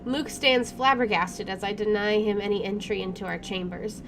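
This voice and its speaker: Expressive woman playing games over audible noise. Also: medium pitch, normal speed. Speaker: let's play host. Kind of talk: reading game narration aloud with casual commentary. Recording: clearly audible background noise.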